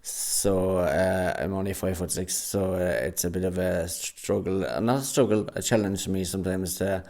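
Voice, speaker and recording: Low-voiced Irish man, halting. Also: steady tone, darkish tone. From Ireland. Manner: hesitant, many fillers and restarts. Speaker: radio drama actor. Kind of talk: casual interview chat. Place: recording studio.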